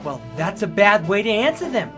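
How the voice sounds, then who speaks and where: An actor playing a tough, easygoing prizefighter with quiet menace, in 1940s radio noir style. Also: male voice, high voice, big pitch swings, moderate pace, full character voice. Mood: casual, menacing. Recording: vintage 1940s radio recording with music.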